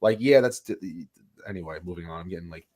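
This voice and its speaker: A man with a lowish voice that is raspy while he gets over a sinus infection. He rambles through casual podcast talk, restarting sentences and leaning on "like" and "you know.". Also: quick pace. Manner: rambling, animated, many restarts and fillers. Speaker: podcast co-host.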